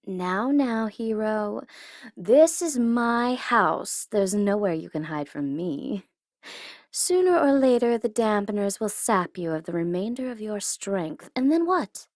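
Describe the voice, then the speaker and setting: A woman's voice: mid-range voice, no echo, clean studio sound. A woman playing a smug tsundere supervillain in an ASMR audio roleplay, taunting and teasing theatrically, at times in a whisper. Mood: menacing, teasing. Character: smug tsundere female supervillain gloating over her captive hero.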